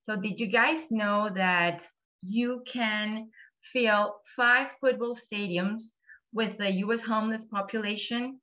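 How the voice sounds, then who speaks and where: A woman's voice: mid-range voice, steady tone, slow. Venezuelan woman presenting over video call. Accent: Venezuelan Spanish. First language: Spanish. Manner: friendly, many "um"s, explaining slide by slide. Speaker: guest speaker. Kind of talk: online presentation to a church group. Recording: muffled video call audio.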